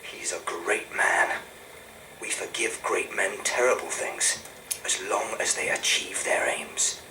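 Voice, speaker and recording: A man playing a cold, zealous company loyalist in a game audio log, speaking in a hushed, deliberate way. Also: fairly low pitch, reverberant, noisy background. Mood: cold conviction.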